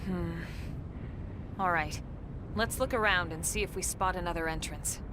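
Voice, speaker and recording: A woman's voice: on the low side, normal speed, noisy background, close to an everyday voice. Firm female squad leader played by a woman. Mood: focused, commanding. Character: serious, decisive female military squad leader. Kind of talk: dramatic video game cutscene acting. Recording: game music behind the voice.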